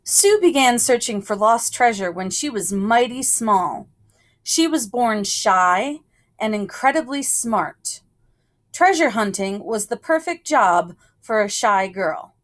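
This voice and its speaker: A woman with a slightly high voice reads a picture book aloud for children. Her pace is very slow, and she sets phrases apart with deliberate pauses. The delivery is that of measured storytelling. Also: expressive.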